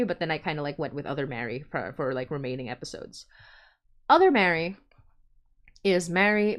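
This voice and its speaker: Chatty woman with a lowish voice. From Philippines. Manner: chatty, informal, thinking aloud. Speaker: podcast creator hosting a fundraising livestream. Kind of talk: casual rambling livestream explainer.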